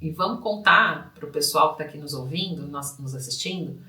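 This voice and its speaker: A woman tells her story in a chatty, conversational way, often asking "né?" Her voice sits a little low but sounds bright. She is recorded at a distance in a roomy, echoing space. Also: a little fast. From Brazil, São Paulo state. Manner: warm, chatty, leans on tag questions. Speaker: podcast co-host. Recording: echoey room, mic at a distance.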